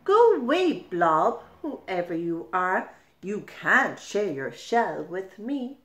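A grumpy crab from a picture book, acted out by a woman, guards his shell jealously. The delivery is bossy and very slow, with big swings in pitch and some room echo. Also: big pitch swings. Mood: indignant. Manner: bossy, emphatic. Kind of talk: storybook character voice. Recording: roomy indoor echo.

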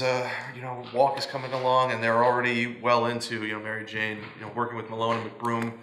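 A man serving as mayor, chairing a town council meeting in a relaxed, informal way with frequent "you know"s. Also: medium pitch. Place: council chamber, indoors. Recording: roomy hall with faint noise.

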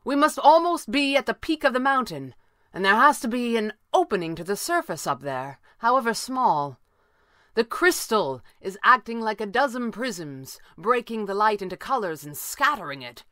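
A woman's voice: fairly high pitch, big pitch swings, normal speed, a bit bright, no echo, studio quality, voice pushed up. A woman voicing a mocking masked male red panda adventurer, calling out theatrically in an audio story. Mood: exclaiming.

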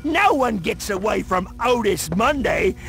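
High-pitched ranting old country farmer. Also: male voice, lively intonation, a little slow, vocal fry, exaggerated voice. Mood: menacing. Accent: rural American. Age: older. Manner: ranting, folksy, preacher-like bombast. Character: crazed old male American farmer, paranoid and belligerent. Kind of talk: video game cutscene acting. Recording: loud music behind the voice.

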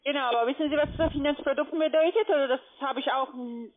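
A woman with a foreign accent giving a phone sales pitch as a call center agent, pushy and blunt. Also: fast. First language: not German. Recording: tinny narrow phone line.

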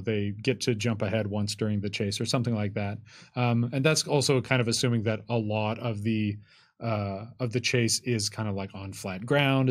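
A man in his thirties giving casual explanations as a game designer, in a conversational, hedging way full of asides. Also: on the low side. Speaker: game designer answering rules questions. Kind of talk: casual explanatory panel talk. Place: indoor studio table with curtain backdrop. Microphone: tabletop microphone on a stand.

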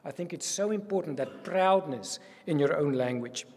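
An older man from South Africa with an Afrikaans-accented voice, giving an earnest, animated welcome speech as a university provost at a podium microphone. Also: fairly high pitch, normal speed, husky. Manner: earnest and animated, gesturing widely. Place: reception hall with curtains. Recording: long hall reverb.

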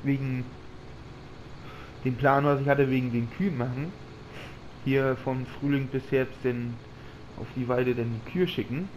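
A man's voice: medium pitch, steady tone, measured pace, long pauses, a little mellow, low-quality recording. Man with a slight cold, rambling. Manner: rambling, thinking aloud with fillers. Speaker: gaming channel host. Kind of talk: casual let's-play commentary. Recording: audible background noise.

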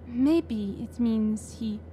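A woman's voice: on the high side, drawn-out words, mellow, a little hiss or hum. An actress playing a thoughtful French wife and mother, sincere and inquisitive, in an audio drama. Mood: curious, sincere. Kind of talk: radio drama acting.

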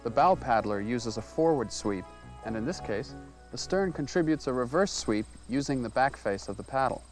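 A man in his thirties narrating a canoeing lesson, explaining strokes in a clear, measured way. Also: medium pitch, animated, soft background music. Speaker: canoeing instructor. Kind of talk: instructional narration.

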